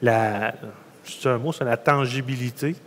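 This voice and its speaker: A man's voice: mid-range voice, steady tone, unhurried, a bit bright. Quebec man in an echoing hall. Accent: Quebec French. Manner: informal. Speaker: panelist, farm entrepreneur. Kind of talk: conference panel personal account. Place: conference room panel. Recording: reverberant hall sound.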